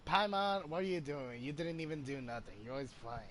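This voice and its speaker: A man's voice: a little high, lively intonation, fairly quick, a little hiss or hum. A man giving casual commentary while playing a video game. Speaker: game streamer. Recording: loud game music under commentary.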